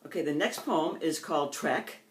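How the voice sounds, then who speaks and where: An older woman with an American accent, a poet reading her own poems in deliberate phrases and introducing them informally. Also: low voice. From United States.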